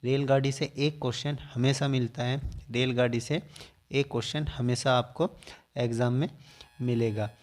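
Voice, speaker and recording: A man's voice: mid-range voice, steady tone, moderate pace, a little mellow. A man teaching an exam syllabus point by point, repeating key items for emphasis. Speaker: coaching class teacher. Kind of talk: exam-syllabus lesson, listing topics point by point.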